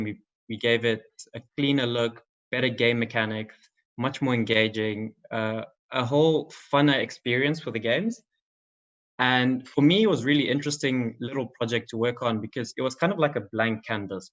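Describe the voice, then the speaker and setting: A man's voice: medium pitch, fairly bright tone. A young man from Zimbabwe giving an online conference talk as a games marketing manager, in a casual style with many ums and likes. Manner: casual, filler-heavy delivery with frequent "um" and "like". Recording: slightly dull lo-fi audio.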